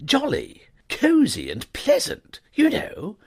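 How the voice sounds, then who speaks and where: A man reading a story, voicing a flustered, excitable young gentleman with a high, breathless bluster. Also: slow, breathy edge, full character voice. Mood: chummy.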